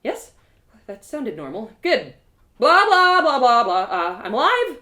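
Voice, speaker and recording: Woman acting a blurting, dazed young woman adventurer, high-pitched. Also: huge pitch swings, unhurried, bright, forceful tone, exaggerated voice. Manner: blurting, exclaiming, theatrical. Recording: echoey room.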